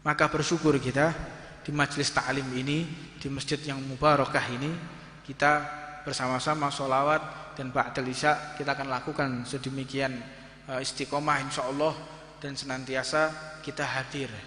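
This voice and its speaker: A man's voice: mid-range voice, little pitch movement, moderate pace, pushed and bright. A man in his thirties with a Javanese accent, giving a fervent sermon in a mosque and drawing out Arabic phrases. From Indonesia. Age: 30s. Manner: rises to impassioned, fervent preaching, draws out Arabic phrases. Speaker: preacher. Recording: echoey hall reverb.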